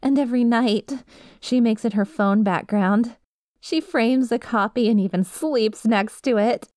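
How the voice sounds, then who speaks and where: A woman's voice: a little high, a little slow, a little mellow, no room sound, lightly acted. Woman acting out a coy yandere girl, dry close sound. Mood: dreamy, wistful. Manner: coy, rambling, self-justifying. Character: lovesick, obsessive yandere best friend girl confessing through a story. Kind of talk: ASMR roleplay, conversational storytelling.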